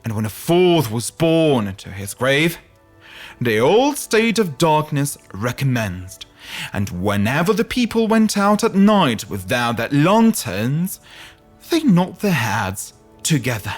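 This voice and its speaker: A man narrating a scripted fairy tale in a theatrical, deliberate storytelling manner, as a podcast host would. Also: a little high, sing-song, soft background music.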